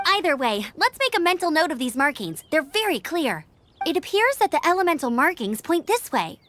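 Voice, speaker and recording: Earnest, lively young scout knight. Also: female voice, high voice, a little hiss or hum. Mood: focused. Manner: brisk, confident, purposeful. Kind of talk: animated video game dialogue. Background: game music.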